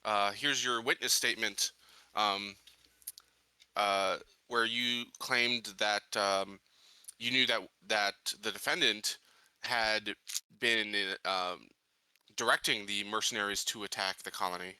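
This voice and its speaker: A man playing a tabletop game, improvising courtroom questions with halting, somewhat formal phrasing. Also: on the low side, fairly even intonation, sharp tone, clean tone, no room sound. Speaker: tabletop player questioning a witness in character.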